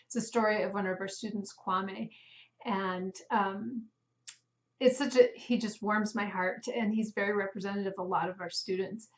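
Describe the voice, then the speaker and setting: A woman's voice: mid-range voice, fairly even intonation, moderate pace. A woman swim instructor giving a heartfelt, casual video message to her students, with frequent ums. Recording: roomy, dull sound.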